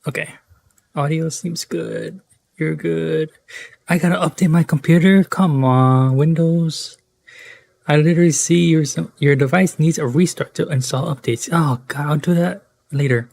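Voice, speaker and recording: A man's voice: a little high, fairly even intonation, a little slow, vocal fry, a little mellow, dry recording. A young man doing casual live gameplay commentary, slangy, rambling and self-deprecating. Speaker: game streamer.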